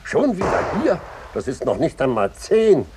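An actor playing a self-important, dithering colonel in a 1950s German radio satire, officious, blustery and prone to stammering. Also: male voice, a little high, wildly swooping pitch, moderate pace, noisy background. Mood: panicked bluster.

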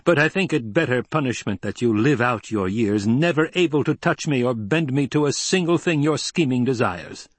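A man voicing a defiant, coldly angry young heir in a forceful, imperious, declamatory way. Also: mid-range voice, lively intonation, moderate pace, few pauses, dry recording, voice pushed up, close to an everyday voice. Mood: commanding fury.

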